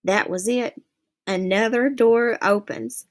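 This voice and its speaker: A woman reading a novel aloud in a steady first-person storytelling voice. Her voice sits fairly high. She keeps the narration even and clear. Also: slow pace. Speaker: reader.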